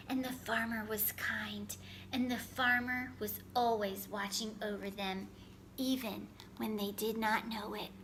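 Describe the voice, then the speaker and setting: A woman storyteller reading a children's picture book aloud, expressive and careful in her phrasing. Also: on the high side, slight background noise, rough recording. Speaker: storyteller, host.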